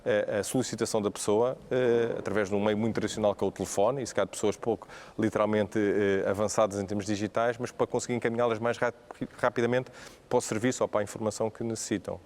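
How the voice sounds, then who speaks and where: A man with a European Portuguese accent speaking on a business panel, pausing often with fillers and restarts. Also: lowish voice, little pitch movement, a little mellow, slight background noise. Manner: hesitant, many fillers and restarts. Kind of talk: panel discussion.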